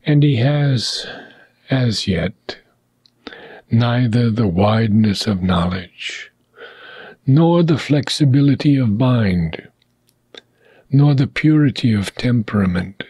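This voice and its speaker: A man reading a philosophical text aloud in a deliberate, measured way, pausing between short phrases and letting phrase ends fade into a breathy whisper. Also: mid-range voice, lively intonation, mellow, no room sound. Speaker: audiobook reader.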